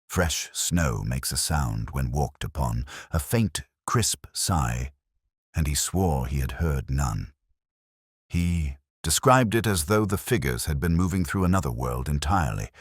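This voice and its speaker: A man narrating a detective mystery in the first person, with a measured, brooding, literary delivery. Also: very deep voice, slightly rough, no echo, clean studio sound.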